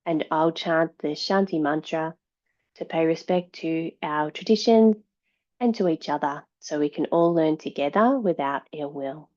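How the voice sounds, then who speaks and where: A woman yoga teacher giving guided meditation and yoga instruction in a calm, measured, soothing way. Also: deep voice, highs cut off.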